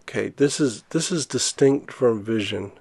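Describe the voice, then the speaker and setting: Older male educator with a cold: gravelly, stuffy, plain casual explaining. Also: medium pitch, darkish tone. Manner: unhurried, restarts phrases while explaining. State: congested from a bad cold. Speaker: science educator.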